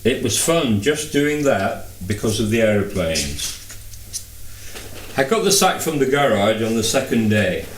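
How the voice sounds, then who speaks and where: A man's voice: lowish voice, big pitch swings, a little slow, slight background noise. A man reading a first-person novel aloud as scripted narration. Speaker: audiobook reader. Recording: echoey room, distant microphone.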